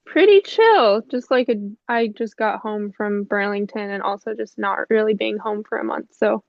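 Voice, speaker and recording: A woman's voice: mid-range voice, normal speed, gravelly, no echo. Brief: young woman, mildly stuffy, easygoing rambling talker, dull lo-fi call sound, dry. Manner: relaxed, rambling, many fillers and soft laughs. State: a little stuffy. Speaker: musician guest. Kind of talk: casual interview chat.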